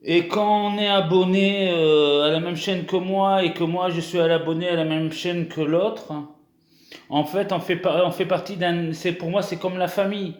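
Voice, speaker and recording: A man's voice: high voice, fairly even intonation, moderate pace, echoey room. A young man from France talking casually to his viewers in a vlog, hesitant, with many restarts and fillers. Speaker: YouTuber.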